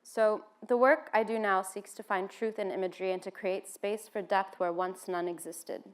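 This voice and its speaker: American woman, talk speaker, relaxed conversational delivery, lots of "um"s, mid-pitched. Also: normal speed. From United States (Washington, D.C.). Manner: conversational, with frequent "um" fillers. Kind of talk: informal seated talk to an audience.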